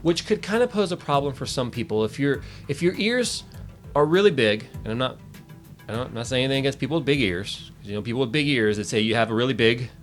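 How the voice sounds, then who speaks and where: A man talks through a casual tech review over faint music. He is chatty and jokey, often breaking off his own sentences to add asides. His voice sits at an ordinary middle pitch. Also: expressive, fast. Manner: chatty, jokey, self-interrupting. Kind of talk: casual product review to camera.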